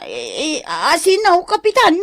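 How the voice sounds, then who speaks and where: A woman's voice: very high voice, huge pitch swings, unhurried, a bit bright, dry recording, clean studio sound, full character voice. A woman playing a flustered, evasive village gossip in Ilocano comedy radio drama, stammering and whiny as she feigns innocence.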